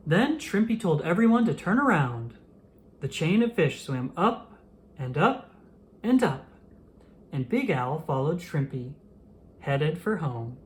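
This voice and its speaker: Animated children's storyteller with lively, swooping pitch. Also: slow, long pauses. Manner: animated, acts out the dialogue. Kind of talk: expressive children's picture book read-aloud.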